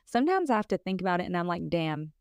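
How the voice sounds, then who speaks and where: Thirties woman co-host, frank and chatty, low-ish smooth tone, close and dry. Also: very animated, racing, no echo. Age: 30s. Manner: candid, filler-heavy, laughs while talking. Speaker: podcast co-host. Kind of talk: casual chat.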